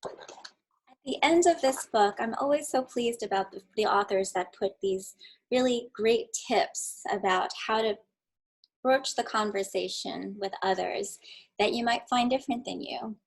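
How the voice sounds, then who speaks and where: Gentle lady reading a kids book on a livestream, husky-ish, a bit high. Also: unhurried, low-quality recording. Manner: gentle, with casual fillers and restarts. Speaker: children's story livestream reader. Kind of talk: reading a picture book aloud, then chatting.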